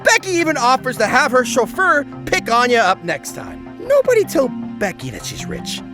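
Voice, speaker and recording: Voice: high-pitched, wildly swooping pitch, moderate pace, over music. A narrator giving a scripted comedic recap in a wry, mock-dramatic way. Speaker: narrator and host.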